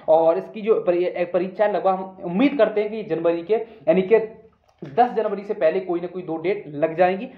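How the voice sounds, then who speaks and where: Man, high pitch, informal explainer, repetitive instructive delivery, dull muffled recording. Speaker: exam news video presenter. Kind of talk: informal explainer addressed to exam candidates. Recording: roomy echo, dull muffled sound.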